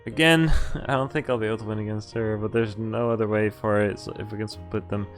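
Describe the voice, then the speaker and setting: A man's voice: lowish voice, steady tone, fairly quick, over music. A man streaming a game, reading its story text aloud with casual, amused, sweary commentary.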